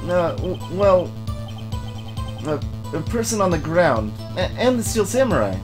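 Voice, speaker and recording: A man voicing an excitable, defensive young boy witness who stammers when flustered, in a video game. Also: high-pitched, a little slow, over music. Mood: nervous, hesitant.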